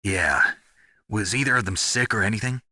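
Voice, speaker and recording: Gruff, very deep veteran soldier. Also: male voice, normal speed, dry recording, studio quality. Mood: grim, businesslike. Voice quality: gravelly, husky. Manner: terse, deadpan, hard-bitten. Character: gruff, world-weary veteran male special forces soldier. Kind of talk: dramatic video game voice acting.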